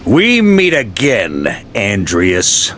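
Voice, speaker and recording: An actor playing an easygoing, confident veteran knight commander in a video game, shifting between musing and command. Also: male voice, fairly low pitch, huge pitch swings, extremely slow, noisy background, exaggerated voice. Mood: grave. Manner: breezy musing, turning commanding and theatrical.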